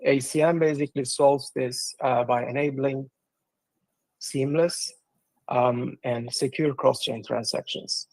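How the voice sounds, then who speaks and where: A man's voice: medium pitch, fairly even intonation, unhurried, darkish tone, dry recording, poor audio. A man from Turkey, a project's regional business development director, speaking as a guest in a casual livestream interview and explaining with many ums and uhs.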